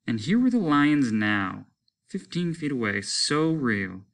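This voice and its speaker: A male teacher reading a short story aloud in a measured, descriptive way. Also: mid-range voice, sing-song, a bit bright.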